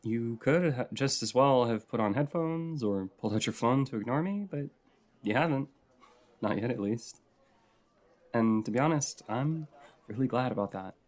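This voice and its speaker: A man playing a shy, sweet writer in an intimate audio roleplay, chatting in a hesitant, rambling, softly flirty way. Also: medium pitch, lively intonation, moderate pace, close to an everyday voice. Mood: nervous, earnest. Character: shy, rambly, sweet regular writing in a coffee shop.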